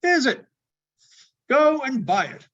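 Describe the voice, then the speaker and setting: A man voicing an excitable, repentant old miser in a storybook reading, exclaiming and stammering as he repeats himself. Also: very high voice, takes it slowly, highs cut off, pitched above the performer's own voice, exaggerated voice. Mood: giddy, excited.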